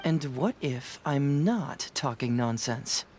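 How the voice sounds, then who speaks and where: An actor playing a young detective in a game, confident, teasing and coolly probing. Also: male voice, medium pitch, animated, slow pace, background noise. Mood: confident, sly.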